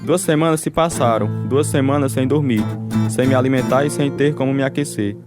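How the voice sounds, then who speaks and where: A persecuted, devout husband in prison , played by a man, recounts his ordeal in a Brazilian accent for a radio drama. His voice sits at a middling pitch over clearly heard guitar music. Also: a little fast, lightly acted. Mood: grave, suffering. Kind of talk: dramatized radio testimony narration.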